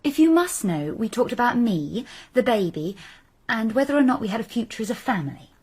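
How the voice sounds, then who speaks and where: An actress playing a defensive, challenging young woman, a pregnant daughter, in a radio drama, with a slightly rough, breathy voice. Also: a little high, sing-song, brisk, a little mellow.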